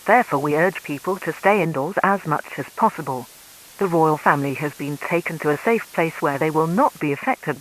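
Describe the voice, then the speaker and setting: Male announcer, fairly high pitch, for scripted urgent public warnings on an emergency broadcast. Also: fairly bright tone, poor audio.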